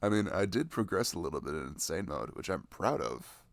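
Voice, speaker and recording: Low-voiced, sweet, nerdy boyfriend in intimate ASMR acted by a man. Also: very animated, brisk, gravelly, no room sound, clean studio sound, full character voice. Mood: proud, chatty. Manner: tender, rambling, giggly, gushing about games. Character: sweet, affectionate, nerdy young boyfriend cuddling his partner. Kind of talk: ASMR boyfriend roleplay, intimate casual chat.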